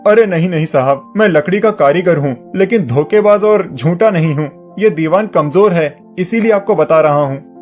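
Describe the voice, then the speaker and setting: An actor playing a humble, honest poor village carpenter, deferential and pleading, in a dramatized moral story. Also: male voice, on the high side, moderate pace, darkish tone, faint music behind, close to an everyday voice. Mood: protesting.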